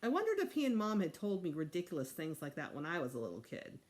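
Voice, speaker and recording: Expressive woman reading a storybook aloud. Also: sits low, quick pace. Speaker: teacher reading aloud to students.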